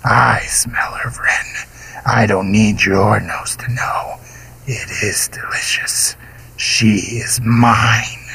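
A man voicing a hunched, rotten male monster who wheezes and whispers with slow gloating menace. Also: on the low side, fairly even intonation, vocal fry, pushed and bright, husky, heavily acted, low-quality recording. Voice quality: whispery, drawn-out hissing.

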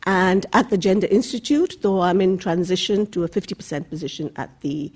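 A woman from Bangladesh chairing a public panel discussion, giving formal introductions in a measured way with occasional fillers. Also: fairly low pitch, darkish tone, breathy edge.

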